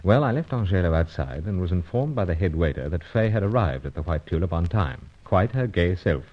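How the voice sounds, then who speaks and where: Clipped, low-voiced British secret agent. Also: male voice, animated, a little fast. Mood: pondering. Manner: clipped sentences with dropped words, understated. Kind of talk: old-time radio adventure serial acting and narration. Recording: dull, noisy old radio recording.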